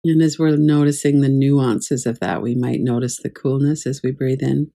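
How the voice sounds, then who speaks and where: A woman teaching guided meditation in a calm, measured, gently instructive way. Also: very deep voice, vocal fry. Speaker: yoga nidra meditation teacher.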